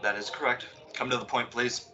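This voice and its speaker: Haughty floor walker, played by an actor, bright forceful voice, clipped formal dismissals, old-time radio play. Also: mid-range voice, level tone, a little fast, close to an everyday voice. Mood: haughty, impatient. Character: snooty, curt department-store floor walker, busy and impatient.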